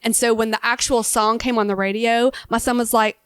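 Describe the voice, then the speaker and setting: A woman of fifty with a somewhat high voice, co-hosting a podcast. She tells lively personal stories, and the recording is dry and close. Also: fairly quick, clear, resonant tone, dry recording. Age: 50s. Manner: storytelling, animated anecdotes. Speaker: podcast co-host, dietitian. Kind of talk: casual chat.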